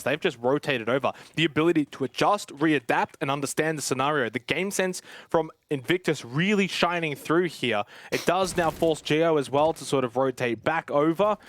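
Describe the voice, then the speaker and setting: A man's voice: a little high, a bit bright, a little hiss or hum. A man commentating on an esports match in a conversational, explanatory way. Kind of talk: analytical live match commentary.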